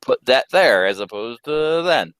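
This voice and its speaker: Young man, varied intonation, halting delivery, casual chat, dry sound. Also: mid-range voice, expressive, fairly bright tone, clear, resonant tone, no room sound. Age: young adult.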